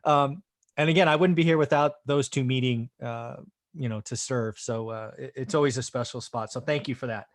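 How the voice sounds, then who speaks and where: A man's voice: mid-range voice, brisk. A man hosting an interview show, upbeat and enthusiastic with his guest. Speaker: host.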